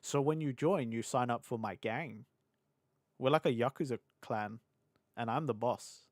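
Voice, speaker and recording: A young British man streaming live, rambling and laughing as he chats with his audience, his voice a little hoarse from hours of talking. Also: mid-range voice, no echo, studio quality. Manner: chatty, scattered, quick to laugh. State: slightly hoarse. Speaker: VTuber streamer. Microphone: desk mic on a boom arm.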